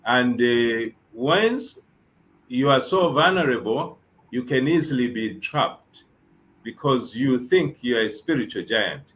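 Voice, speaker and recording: A man's voice: medium pitch, animated, unhurried, fairly bright tone, poor audio. A man, a bishop, giving earnest advice on a talk show and repeating his key phrases. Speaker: bishop guest.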